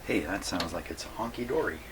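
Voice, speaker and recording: A man's voice: low-pitched, fairly quick, background noise. A man giving casual, folksy workbench commentary as a hobbyist host, musing aloud to himself. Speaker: radio repair hobbyist hosting a video.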